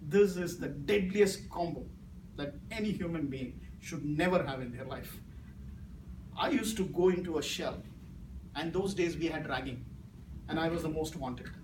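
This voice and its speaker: A man's voice: a little high, moderate pace. A middle-aged man with an Indian English accent, a native Malayalam speaker, giving a speech as guest speaker at a club meeting and telling stories that swing from grave to playful. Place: meeting room with audience. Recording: echoey room from far off, noisy.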